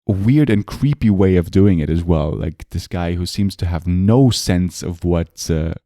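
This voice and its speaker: A man co-hosting a podcast, chatting casually about films in a relaxed, hesitant way with many pauses. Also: low voice, dry recording, clean studio sound. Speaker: podcast co-host. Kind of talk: casual film chat.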